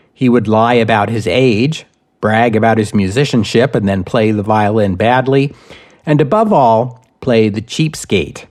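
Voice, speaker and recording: A man with a mid-pitched voice narrates history as the host of a podcast. He reads from a script in deliberate, measured phrases. Small pauses set his points apart. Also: darkish tone.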